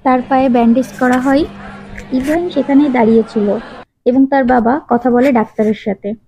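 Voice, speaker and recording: A woman's voice: high-pitched, fairly even intonation, fairly quick, warm tone, husky, soft background music. A woman narrating a children's story from a script. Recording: dull, lo-fi sound.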